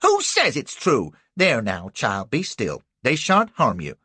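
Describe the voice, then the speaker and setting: A man voicing a small, fierce, protective older woman with a shrill commanding tone. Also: huge pitch swings, unhurried, bright, forceful tone, breathy edge, dry recording, heavily acted.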